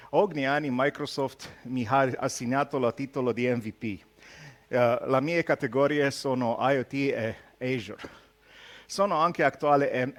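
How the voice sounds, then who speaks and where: A man from Romania with a Romanian accent gives an informal tech talk, speaking haltingly and teasing his audience with jokes. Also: medium pitch. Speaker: conference speaker, solution architect.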